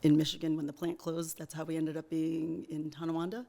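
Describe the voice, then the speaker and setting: Upbeat woman with a gravelly edge. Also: sits low, flat delivery, brisk, crowd noise. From United States. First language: Vietnamese. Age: 40s. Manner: earnest, upbeat storytelling. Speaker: guest, teacher and business owner. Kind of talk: casual interview conversation.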